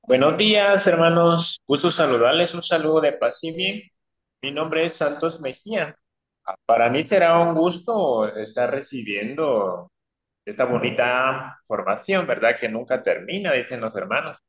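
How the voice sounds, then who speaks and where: A man's voice: a little high, expressive, measured pace, a bit bright. A catechist greets everyone formally and wishes them blessings. His call audio is dull and lo-fi. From Guatemala. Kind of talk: formal church-style greeting.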